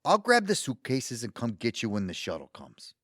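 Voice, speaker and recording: A man acts out an older New suitor in a radio-play comedy. His pitch leaps all over the place as he talks in a folksy, enthusiastic way. The sound is close and dry. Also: wildly swooping pitch, moderate pace, fairly bright tone, no room sound. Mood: cheerful, reassuring. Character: eager, sweet, older Brooklyn man wooing his date. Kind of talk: audio theatre comedy acting.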